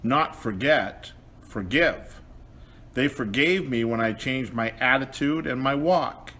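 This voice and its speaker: A man playing a repentant apostle in a children's puppet show, explaining things in an earnest, measured way. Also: medium pitch, sharp tone.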